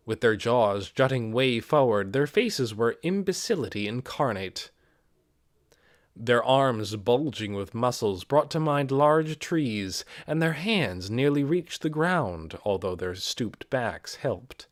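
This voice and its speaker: A man reading a fantasy novel aloud as scripted audiobook narration, with expressive storytelling delivery. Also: medium pitch, no room sound, clean studio sound. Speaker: amateur audiobook reader.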